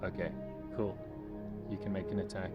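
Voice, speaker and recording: A man's voice: sits low, flat delivery, normal speed, muffled recording. A man running a tabletop game as game master, talking casually and somewhat hesitantly as he narrates and makes rulings. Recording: background music under game talk. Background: music.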